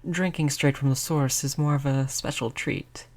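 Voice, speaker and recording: A performer voicing a gentle, polite vampire in an intimate roleplay audio, speaking in a soothing, conversational way at a medium pitch. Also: fairly even intonation, moderate pace, natural voice. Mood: pleased, soothing. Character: gentle, reassuring vampire, eighty years old, eternally polite. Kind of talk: roleplay audio drama, intimate one-on-one talk to listener.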